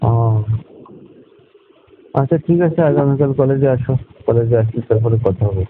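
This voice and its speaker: A man's voice: mid-range voice, expressive, normal speed. A young man chatting casually and privately on the phone. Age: young adult. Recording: tinny phone line, clear noise, birds cooing.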